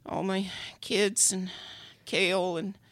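A man's voice: sits high, level tone, slow, a bit bright, no room sound, exaggerated voice. A man playing an eager, over-apologetic non-human podcast producer, stammering and flustered in comedy banter. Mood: eager, encouraging.

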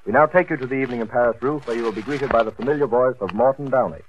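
A man's voice: mid-range voice, very fast, slight background noise. A man formally introducing a sponsored 1930s radio program on a dull old recording. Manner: formal announcing. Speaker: radio announcer.

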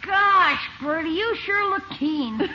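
Performer playing eager young boy, very high piercing voice, vintage radio sitcom, muffled recording. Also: slow, sharp tone, slight background noise, exaggerated voice. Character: eager, impatient boy nephew. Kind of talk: 1950s radio situation comedy acting.

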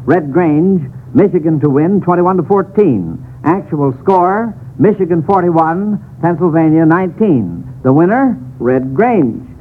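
American guy hosting an old 1940s radio quiz, higher voice, narrow tinny sound with some hiss. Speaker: quiz show host.

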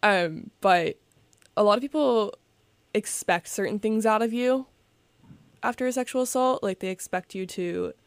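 A young podcast host asking questions in a relaxed, supportive way, with "like" and "um" fillers. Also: mid-range voice, moderate pace, clear, resonant tone, dry recording. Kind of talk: casual podcast interview.

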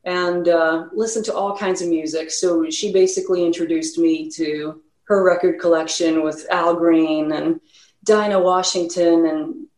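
Musician guest: a Swedish-accented woman with a lower voice, chatty and loose, recorded in a roomy space. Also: darkish tone. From Sweden. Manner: rambling, many fillers and restarts. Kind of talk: casual interview talk.

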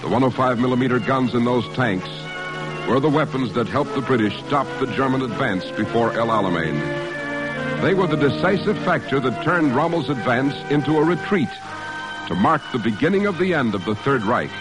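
A man's voice: mid-range voice, expressive, moderate pace, low-quality recording. A man working as a radio announcer, reading scripted narration in a formal, declamatory 1950s style. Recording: vintage radio broadcast, music behind.

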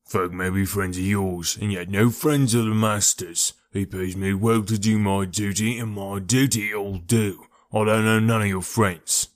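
A man voicing a gruff, stubborn ex-prizefighter porter with blunt, rough working-class speech in an audiobook. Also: on the low side, steady tone, unhurried, hardly any pauses, natural voice. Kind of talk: audiobook character voice.

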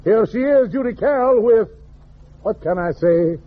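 A man's voice: sits high, expressive, unhurried. A man hosting a vintage 1940s radio variety show as its emcee. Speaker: show host and comic performer. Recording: muffled, noisy old radio recording.

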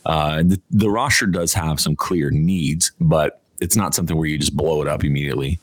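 A man with a very deep voice talks sports as a podcast co-host. The delivery is casual and conversational, and the sound is dry and close. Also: a little fast, no room sound.

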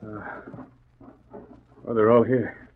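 A man's voice: on the low side, level tone, slow pace. An actor playing a shifty, tough gangster in a 1940s radio drama, with slangy tough-guy talk. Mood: gruff. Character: shifty tough male gangster, bullying yet cornered. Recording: noisy, tinny vintage radio recording.

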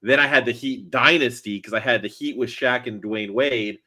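A man's voice: mid-range voice, moderate pace, background noise. A man from Miami co-hosting a podcast in an easygoing, casual chat, laughing often. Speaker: podcast co-host.

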